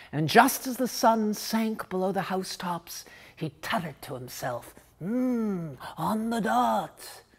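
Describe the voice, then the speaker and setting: Expressive woman storyteller with animated pitch. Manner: expressive, theatrical, deliberate. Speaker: story reader. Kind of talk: dramatic literary storytelling read aloud.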